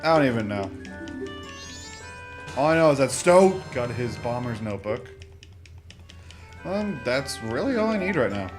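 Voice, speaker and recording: A man's voice: mid-range voice, big pitch swings, unhurried, long pauses. A man hosting a let's play with casual, rambling gameplay commentary, often interrupting himself with joking asides. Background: video game music.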